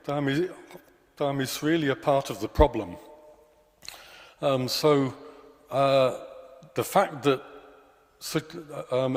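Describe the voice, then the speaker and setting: A man giving an earnest, halting candidate's speech at a hustings, with many fillers and restarts. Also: mid-range voice. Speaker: election candidate. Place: large church hall. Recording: long church hall reverb.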